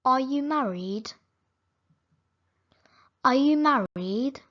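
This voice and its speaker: Woman teaching english phrases super slow, kinda high voice, muffled sound. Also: lively intonation. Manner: each sentence said slowly and clearly, then repeated. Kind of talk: slow phrase reading for learners.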